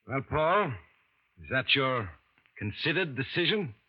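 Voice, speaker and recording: Husky, courteous old-time lawyer. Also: male voice, mid-range voice, sing-song. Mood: probing. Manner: courteous, measured, faintly suspicious. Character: polished older male lawyer, calm and probing. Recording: muffled 1950s radio drama, slightly off-mic.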